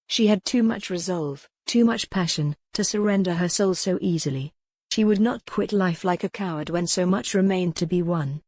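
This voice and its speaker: A woman's voice: on the low side, darkish tone, no echo. A woman reading a historical romance novel aloud as a dramatic audiobook narrator.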